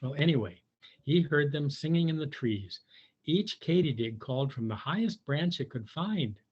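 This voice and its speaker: A man reads a picture book to young children in a conversational way, chatting to them as he goes. His voice is fairly high for a man, very animated and husky, on a slightly dull recording. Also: moderate pace. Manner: conversational, addresses young listeners. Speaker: volunteer storybook reader for young children. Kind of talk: animated children's read-aloud with asides.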